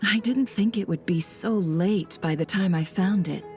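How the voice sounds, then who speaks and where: A woman's voice: medium pitch, measured pace, a little mellow, close to an everyday voice. A woman playing a shrewd, composed traveler in a video game, speaking politely and with calculation. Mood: earnest, explaining. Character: shrewd woman traveler posing as antiques smuggler. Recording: music under the voice.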